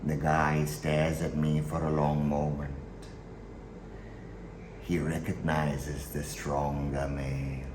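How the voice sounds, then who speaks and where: A man performing a theatrical monologue as a chillingly matter-of-fact, darkly amused killer, with deliberate dramatic pauses and shifts between hushed and forceful delivery. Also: rumbling low, completely flat delivery. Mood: quiet menace. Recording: roomy echo, faint background noise.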